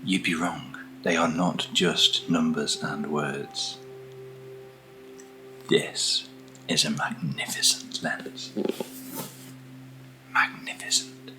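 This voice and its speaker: This is a British man with a very low voice, hosting an ASMR-style unboxing. He talks softly and slowly, often sinking into a hushed whisper. Music-like tones sound clearly behind him. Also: steady tone, poor audio. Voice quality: soft, often dropping to a whisper. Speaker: ASMR video host. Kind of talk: soft-spoken ASMR unboxing.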